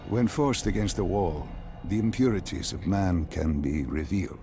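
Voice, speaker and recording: Game role: philosophical, insistent male guide, formal and deliberate, expressive pitch movement, clear music underneath. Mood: probing, philosophical. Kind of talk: dramatic scripted game dialogue.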